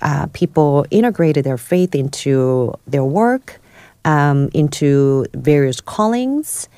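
A woman from South Korea speaks as a studio interview guest, her voice low and mellow. She tells her story in a halting way, full of ums and restarts. The sound is dry and close. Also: animated, smooth, dry recording. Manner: hesitant, many fillers and restarts. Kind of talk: podcast interview, personal story.

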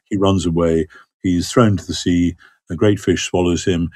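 An older man talks through a question slowly, circling back with ums and stammered restarts. His voice sits low. The recording is dry and close. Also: steady tone, a little mellow, husky, no room sound. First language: not Hebrew. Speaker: biblical scholar guest. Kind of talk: thoughtful conversational explanation.

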